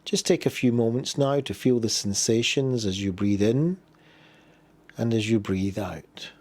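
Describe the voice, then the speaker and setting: Man guiding a slow body scan meditation. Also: on the low side. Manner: gentle, unhurried instruction with pauses. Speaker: meditation guide.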